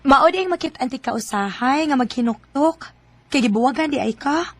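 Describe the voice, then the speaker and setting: An actress playing a worldly, chatty female coworker who hands out advice in a radio drama, expressive, with a Cebuano Filipino accent. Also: high voice, moderate pace. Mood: curious, teasing.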